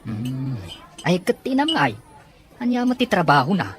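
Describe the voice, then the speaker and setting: A woman's voice: low voice, big pitch swings, moderate pace, heavy background noise. A woman playing a chatty, obliging office underling in a serial drama, over music. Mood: inquisitive. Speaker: actor in a Filipino serial drama. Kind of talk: acted drama dialogue. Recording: music behind the voice.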